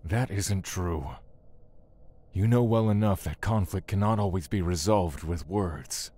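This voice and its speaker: An actor playing a brooding, stoic god of death in an audio drama, speaking deliberately. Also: male voice, low voice, dark tone. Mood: quiet, tender.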